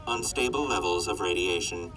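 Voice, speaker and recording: A man voicing a weather-forecasting robot that reads data in a flat, methodical way. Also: sits low, normal speed. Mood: reporting. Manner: flat, methodical report delivery. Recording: distant voice over loud music.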